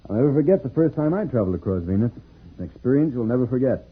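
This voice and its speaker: An actor playing a calm, protective space adventurer in a 1950s radio serial, reassuring as he explains things. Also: medium pitch, rapid-fire, slightly rough. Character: Dancer the Planet Man, calm protective adult male space adventurer. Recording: tinny, muffled old radio recording.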